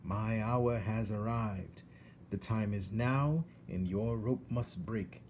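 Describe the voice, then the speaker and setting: A man voicing a scheming, vengeful rat villain in a storybook, whispering with drawn-out menace. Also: on the low side, a little mellow, slight background noise. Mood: sly, vengeful.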